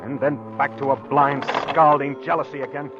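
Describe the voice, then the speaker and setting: An American actor playing a jealous, tormented newlywed husband in 1940s radio drama, intense and confessional, quick to anger. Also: male voice, mid-range voice, fairly even intonation, lightly acted. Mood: brooding, tormented. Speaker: actor and first-person narrator. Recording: dull 1940s radio recording, music behind.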